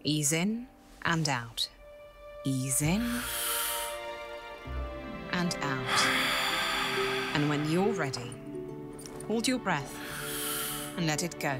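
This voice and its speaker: A woman acts as a confident female warrior in an audio drama. Her voice sits on the low side and moves in lively turns, calm and assured with a teasing streak. Music is clearly heard behind her. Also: drawn-out words, long pauses, heavily acted. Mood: patient, coaching.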